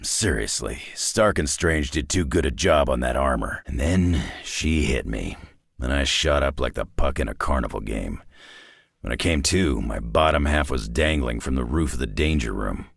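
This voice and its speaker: A man voicing a gruff, grizzled, wry mutant brawler from a video game, with a gravelly growl and blunt, laconic, folksy delivery. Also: extremely low pitch, expressive, moderate pace, no room sound, full character voice. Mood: dry, gruff. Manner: blunt, dry, laconic tough-guy delivery with folksy slang. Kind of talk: scripted game character voice lines.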